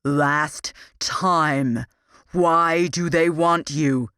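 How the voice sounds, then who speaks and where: A narrator voicing a cruel, sadistic male demon captor in an audiobook, speaking slowly and menacingly. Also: mid-range voice, animated, gravelly, no echo, clean studio sound, heavily acted. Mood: gloating threat. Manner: slow, drawn-out menacing delivery. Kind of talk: audiobook character voice.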